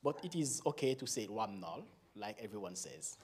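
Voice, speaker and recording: A man in his forties with a Haitian accent, a native Creole speaker, giving a slow, laughing talk to a church as a guest pastor. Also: lowish voice, big pitch swings, a little hiss or hum. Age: 40s. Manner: slow, warm and laughing, with frequent pauses.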